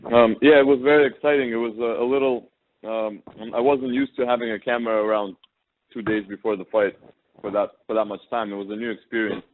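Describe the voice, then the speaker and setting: A man interpreting on a press conference call, pausing hesitantly as he translates. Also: medium pitch, steady tone. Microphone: telephone conference call. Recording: tinny phone line, faint noise, clicks.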